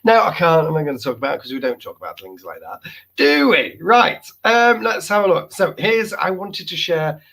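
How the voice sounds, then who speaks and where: Gravelly man losing his voice. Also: fairly high pitch, very animated, normal speed, sharp tone. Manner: playful and jokey, repeating exclamations. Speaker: host of a live stream. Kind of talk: casual live-stream chat reading viewer comments.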